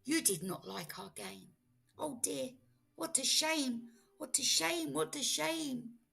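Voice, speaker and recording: A woman voicing a breezy, mischievous cat in a children's storybook read-aloud, coaxing and reassuring with drawn-out words. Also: high voice, expressive, vocal fry, sharp tone, voice pushed up, heavily acted. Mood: disappointed.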